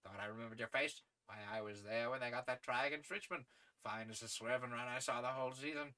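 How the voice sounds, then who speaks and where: A man voicing a hearty, daring aristocratic English gentleman adventurer from a storybook, with jaunty, slangy upper-class phrasing. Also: medium pitch, fast talker, natural voice. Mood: brisk, bluff.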